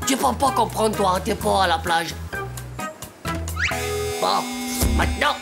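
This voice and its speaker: A woman voicing a cheeky, excitable schoolboy with a wild imagination in a cartoon, whiny and dramatic. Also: medium pitch, slow pace, creaky, over music. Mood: scheming, grumbling. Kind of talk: French animated cartoon dialogue.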